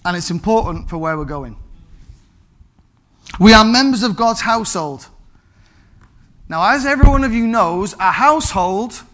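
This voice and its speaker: Man, 40s, pastor, sermon, lively, high voice. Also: normal speed, pauses often. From England. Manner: animated, asks the congregation for amens.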